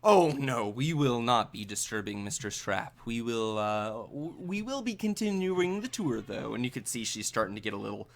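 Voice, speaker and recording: A man's voice: mid-range voice, big pitch swings, moderate pace, vocal fry, few pauses. Man playing a stammering, officious tour guide. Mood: evasive. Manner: hesitant, stammering, formal. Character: evasive, officious museum tour guide showing off frozen exhibits.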